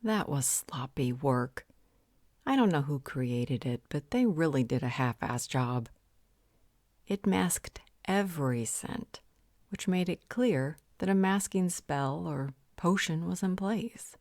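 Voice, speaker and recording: A confident, exacting female potion maker played by a woman in a roleplay audio drama. She speaks slowly and low, matter-of-fact and critical, in a very dry recording. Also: expressive, darkish tone, no echo, heavily acted. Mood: scornful.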